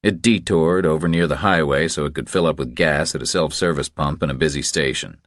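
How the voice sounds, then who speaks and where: A man's voice: a bass voice, a little fast, dry recording, clean studio sound. A man narrating a thriller audiobook from a script.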